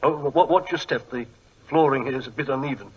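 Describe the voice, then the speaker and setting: An actor playing a fussy, courteous English gentleman archaeologist with a husky voice and British accent in a 1940s radio drama. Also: medium pitch, fairly quick, natural voice. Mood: earnest. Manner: hesitant, apologetic, polite. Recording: muffled old radio recording.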